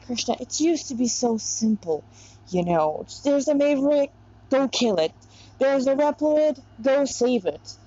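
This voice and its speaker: A woman's voice: high-pitched, animated, unhurried, mellow. Woman voicing a weary female warrior, dull sound. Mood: weary, pleading. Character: weary, devout female warrior brooding over her mission.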